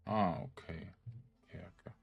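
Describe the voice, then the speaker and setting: Male gamer, very low register, unhurried delivery, hesitant off-the-cuff commentary on his own play. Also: steady tone, creaky, slightly rough, lo-fi sound. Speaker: gaming streamer narrating his own play. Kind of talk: casual let's play commentary.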